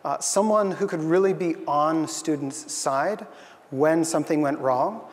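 A man's voice: on the high side, measured pace, long reverb tail. A young man giving an earnest, thoughtful conference talk on a stage, with frequent fillers. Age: young adult. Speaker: conference speaker. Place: stage in a large hall.

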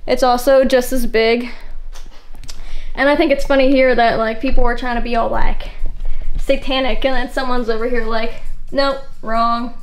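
A young woman in her twenties vlogging on a handheld phone as she explores an abandoned house, chatty and excitable. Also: fairly high pitch, normal speed. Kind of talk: casual exploring vlog. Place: outdoors and inside an abandoned house. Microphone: handheld phone at arm's length.

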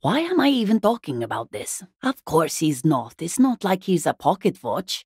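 A woman playing a weary, guarded working class wife and mother in a video game, husky, plain-spoken and exasperated. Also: on the low side, sing-song, moderate pace, a little mellow, no room sound, clean studio sound, full character voice. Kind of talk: video game character dialogue.

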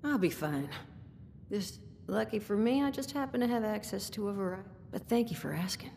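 An actress playing a world-weary, guarded bar owner in a video game, with a dry, worldly way of talking. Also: female voice, lively intonation, fairly quick, vocal fry, darkish tone. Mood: wry, businesslike. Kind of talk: video game dialogue acting. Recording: reverberant game audio with rumbling noise.

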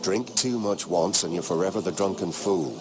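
A man playing a chatty, teasing nobleman who flatters freely in a dramatic video game. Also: sits low, normal speed, a crowd in the background, close to an everyday voice. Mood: smoothly sociable.

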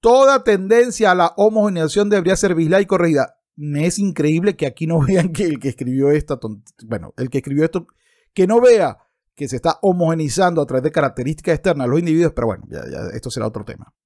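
A man's voice: a little high, animated, quick pace, darkish tone. A man in his thirties speaking emphatically and argumentatively, as in an opinionated video commentary, close to a desktop microphone. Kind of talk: opinionated commentary with passages read aloud. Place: book-lined room at home, indoors. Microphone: desktop microphone close in front.

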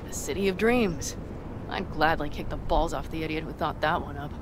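A woman's voice: low-pitched, normal speed, lightly acted. An actress playing a tough, loyal nomad woman in a cinematic video game, speaking bluntly and earnestly. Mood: bitter, wry. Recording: game ambience with vehicle noise.

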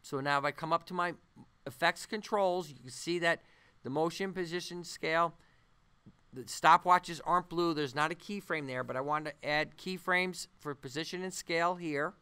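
A man giving an unscripted software tutorial, thinking aloud haltingly as he works through each step. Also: on the high side, clean tone. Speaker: animation tutorial instructor. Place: voice-over on a screen-recording tutorial.

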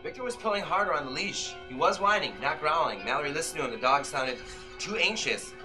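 A man in his thirties narrating a novel as a suspenseful old-time radio play, with deliberate, dramatic phrasing. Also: fairly high pitch, animated. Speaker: author reading his own novel. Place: magazine-lined shop, indoors, small audience. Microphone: camera in the audience, some distance away. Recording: live shop performance under loud music. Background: live violin and keyboard music.

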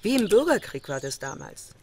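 A woman's voice: mid-range voice, sing-song, moderate pace, darkish tone. An actress playing an anxious, bitter middle-aged mother of a missing daughter in a crime audio drama, troubled and defensive. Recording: birdsong and noise behind. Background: chirping birds.

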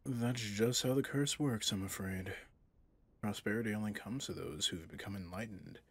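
A man acts out a manipulative, possessive villain prince in an intimate ASMR audio drama. His voice is lowish and heavily breathy, recorded close and dry. He speaks in soft, measured, courtly phrases with smooth menace. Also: no echo. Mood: coolly genteel. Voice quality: breathy, husky.

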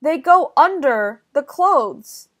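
Young woman, children's story reader, high pitch, extremely unhurried, deliberate enunciation. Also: animated. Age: young adult. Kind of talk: slow, clear children's read-aloud.

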